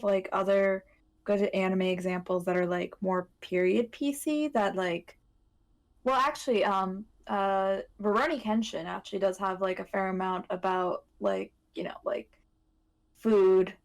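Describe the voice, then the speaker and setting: A woman chats casually as a podcast co-host at a middling pitch. She wanders through her thoughts, breaking off and picking them up again, with plenty of "like" along the way. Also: low-quality recording. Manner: rambling, self-interrupting with frequent "like". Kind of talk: casual chat.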